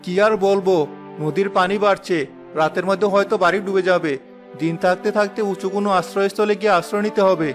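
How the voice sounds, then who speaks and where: Guy doing worried poor village dad for a cartoon, high voice, urgent, music behind. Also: steady tone, fast talker, close to an everyday voice. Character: worried, poor male villager, earnest family man. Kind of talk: animated moral story voice acting.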